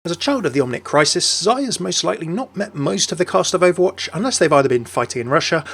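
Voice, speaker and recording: Guy voiceover for game lore, talks fast, chatty asides, soft music under. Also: a little high, lively intonation, husky. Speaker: host of a game lore video. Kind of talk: scripted narration with chatty asides. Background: faint music.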